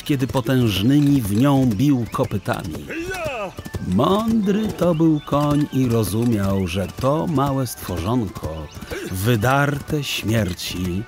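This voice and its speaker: Guy reading a kids tale, slow n theatrical, bit of music under him. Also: medium pitch, a little mellow. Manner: theatrical, deliberate phrasing. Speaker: audiobook narrator. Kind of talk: expressive literary storytelling for children.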